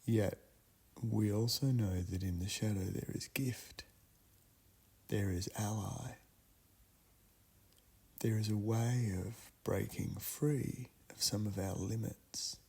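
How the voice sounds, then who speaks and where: A man with a husky, gravelly voice narrating a guided meditation ritual in a solemn, deliberate way, leaving long pauses between short phrases. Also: on the low side, fairly even intonation, darkish tone.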